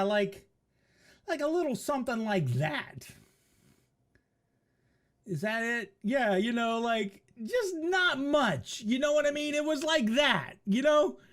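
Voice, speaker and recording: A middle-aged American man casually telling a comic story in a rambling, profane, animated way. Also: very high voice. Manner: profane, animated, acts out his remarks. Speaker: storyteller, host.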